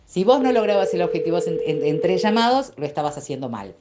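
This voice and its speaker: Low-voiced Argentine woman reminiscing. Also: very animated, quick pace, a loud crowd. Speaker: radio production staff. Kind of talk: casual recollection. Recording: music under the voice.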